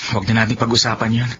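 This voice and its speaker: An actor playing a friendly, slightly shy young office worker in a Filipino radio drama, with a casual, eager delivery. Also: male voice, medium pitch, natural voice. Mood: subdued. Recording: dull lo-fi audio with background noise.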